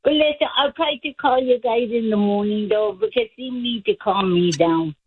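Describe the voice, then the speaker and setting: A woman's voice: fairly high pitch, normal speed, a little hiss or hum. A woman calling into a sports radio show, frustrated and hesitant, heard over a phone line. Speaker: caller.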